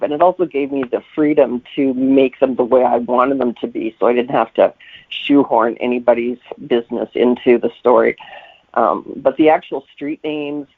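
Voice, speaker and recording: A man's voice: mid-range voice, slightly rough. A man answering interview questions as a guest novelist in a conversational, reflective way, explaining things as he goes. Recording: thin telephone-like line.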